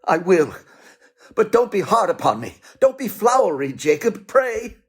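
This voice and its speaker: A scared old miser in a radio drama, high voice jumping all over, wheedling and evasive. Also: male voice, very animated, measured pace. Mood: nervous pleading. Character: frightened, pleading old miser, male, fussy and evasive. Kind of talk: theatrical radio play acting.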